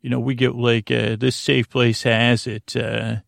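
Creaky-voiced man, rambling and flat. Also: mid-range voice, dry recording, studio quality. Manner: halting, meandering, repeats and trails off. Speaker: podcast host. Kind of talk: rambling bedtime podcast monologue.

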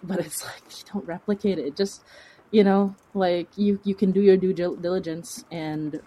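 A woman's voice: fairly low pitch, fairly even intonation, moderate pace, mellow, low-quality recording. A woman chatting casually as an interview guest, rambling and informal, with a self-deprecating way of talking. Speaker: fiction podcast creator, interview guest.

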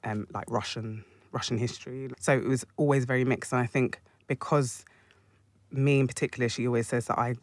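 Soft-spoken young man, dry sound. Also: medium pitch, fairly even intonation, fairly quick, no echo. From London, UK. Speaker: panel guest. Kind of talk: casual discussion.

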